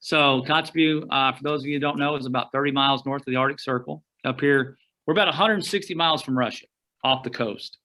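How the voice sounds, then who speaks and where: A middle-aged man from Oklahoma, a guest outdoor writer, telling stories in a rambling, folksy way with frequent pauses and restarts over a remote call. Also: medium pitch, sharp tone. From Oklahoma, USA. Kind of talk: casual storytelling interview.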